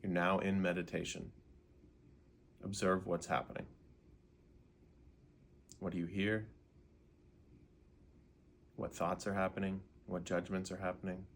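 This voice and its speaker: Low, creaky-voiced man guiding meditation. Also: level tone, slight background noise. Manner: unhurried, gently questioning, with pauses. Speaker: meditation guide. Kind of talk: guided meditation.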